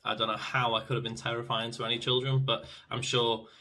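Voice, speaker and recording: A man's voice: medium pitch, level tone, fairly quick, bright, forceful tone, recorded from a distance. A man who performs in pantomime, reminiscing casually.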